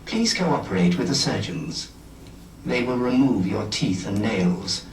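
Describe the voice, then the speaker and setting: A man's voice: fairly low pitch, expressive, unhurried. A man playing a coldly reassuring official who gives sinister orders in a formal, authoritative public announcement. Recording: distant echoey announcement with faint noise.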